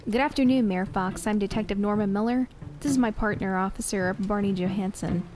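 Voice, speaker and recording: A woman's voice: mid-range voice, a little fast, music in the background, lightly acted. An actress playing a female detective who questions a mayor formally and pointedly. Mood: probing. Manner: formal, probing. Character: poised female police detective. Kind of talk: audio drama acting.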